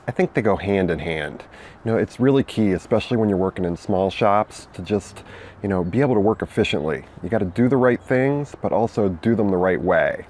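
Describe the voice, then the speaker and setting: A man's voice: fairly low pitch, a little fast, slight background noise. A man hosting a weekly fundraising tip, talking casually outdoors with many fillers and birds singing nearby. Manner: relaxed and chatty, many "uh" and "you know" fillers.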